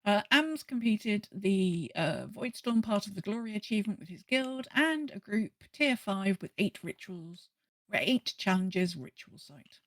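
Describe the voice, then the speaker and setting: An enthusiastic woman hosts a gaming podcast in loose, rambling chat. Her pitch sits in the middle of the female range. The sound is dry, with very little room in it. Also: animated, measured pace, no room sound. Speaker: podcast host. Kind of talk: casual podcast chat about a video game.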